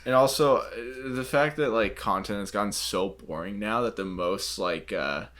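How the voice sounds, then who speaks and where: A man's voice: lowish voice, moderate pace, a bit bright. A man co-hosting a podcast, chatting casually with a sarcastic, jokey manner. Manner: sarcastic, jokey, laughs along. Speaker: podcast co-host. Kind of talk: casual chat.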